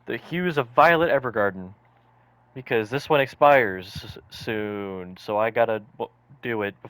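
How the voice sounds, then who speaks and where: A man's voice: on the low side, unhurried, fairly bright tone, clean tone, no echo, poor audio. A man giving casual commentary as he streams a video game. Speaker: gameplay streamer.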